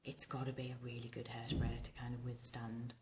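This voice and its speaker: A woman in her thirties with a husky, rumbling, slightly hoarse voice, chatting casually and ramblingly through a hairstyling tutorial to camera. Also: completely flat delivery, fairly quick, a little mellow, roomy sound, slight background noise. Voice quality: husky, rumbling, smoky voice from tonsillitis. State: recovering from tonsillitis, hoarse. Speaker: vintage hairstyling vlogger. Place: small domestic bedroom, indoors.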